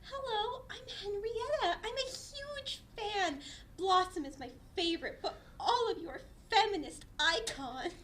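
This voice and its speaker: A woman's voice: extremely high pitch, animated, unhurried, a bit bright, exaggerated voice. A woman voicing a cute little girl who is a gushing, easily tearful superfan, in a comedic table read.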